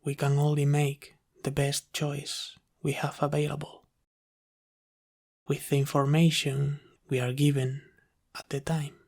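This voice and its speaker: A man playing a gentle, caring sleep paralysis demon who soothes his human in a soft-spoken, reassuring ASMR roleplay. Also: a little high, monotone, a little slow, vocal fry. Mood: soothing, encouraging.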